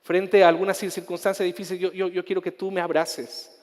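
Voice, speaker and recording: A male pastor with a Chilean accent, his voice sitting rather high, preaching with fervor and restarting phrases. The sound rings with a long reverb, as in a large church hall. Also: little pitch movement, brisk. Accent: Chilean Spanish. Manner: impassioned preaching with repetitions and restarts; soft, intimate prayer. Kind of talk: sermon ending in prayer.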